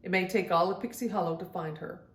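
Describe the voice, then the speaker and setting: A woman's voice: on the low side, quick pace, far from the mic, lightly acted. Lady reading as a bossy little storybook fairy girl, firm n practical, roomy echo. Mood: firm, commanding.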